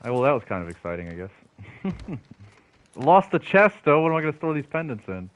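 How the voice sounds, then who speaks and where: A man giving casual, slangy gameplay commentary, excitable and often talking to himself. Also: medium pitch, brisk, low-quality recording. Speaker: video game streamer.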